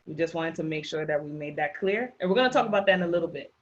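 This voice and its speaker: A woman's voice: deep voice, animated, racing. Haitian-accented woman giving a housing rights workshop, hammers her points home, muffled call audio. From Haitian background. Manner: emphatic, repeats key points. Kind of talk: presenting slides in a workshop.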